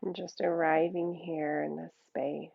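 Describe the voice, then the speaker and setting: Woman, lowish pitch, gravelly fry, soothing guided-meditation delivery, gentle pauses, dry muffled lo-fi sound. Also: little pitch movement, no echo. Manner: soothing, unhurried, gentle pauses between short phrases. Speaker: yoga teacher. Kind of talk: guided meditation, yoga class instruction.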